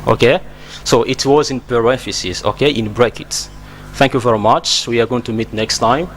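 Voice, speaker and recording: A man's voice: medium pitch, moderate pace, slight background noise. A man from Congo, hosting a TV English lesson in an emphatic, encouraging way that repeats words. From Democratic Republic of Congo. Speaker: English teacher and TV host. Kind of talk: spoken English lesson to TV viewers.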